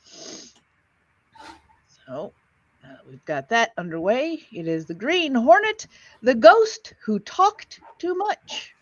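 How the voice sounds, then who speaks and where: A woman's voice: high-pitched, huge pitch swings, slow pace, pauses often, dry recording, highs cut off. A woman hosting an old-time radio listening show, reading introductions and chatting casually in between.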